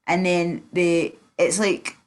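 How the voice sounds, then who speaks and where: A woman's voice: fairly low pitch, level tone, slow pace. A woman with a Glaswegian Scottish accent hosting a casual livestream chat, rambling and blunt, swearing freely. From Scotland. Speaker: livestream host.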